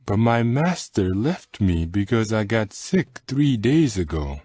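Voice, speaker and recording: Subdued, low-voiced Egyptian slave man. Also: big pitch swings, a little slow, warm tone, slightly rough, dry recording, exaggerated voice. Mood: subdued, explaining. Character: weary young Egyptian slave, pleading and frank. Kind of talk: dramatized scripture audio acting.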